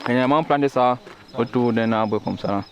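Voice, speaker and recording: A man's voice: medium pitch, a little fast. A man patiently explaining crops to visitors, recorded outdoors in a village field. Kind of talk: casual explaining.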